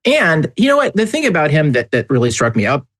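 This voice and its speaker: A man co-hosting a podcast in casual chat, speaking emphatically and deliberately as he restarts phrases. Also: on the high side, wildly swooping pitch, a bit bright. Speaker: podcast co-host.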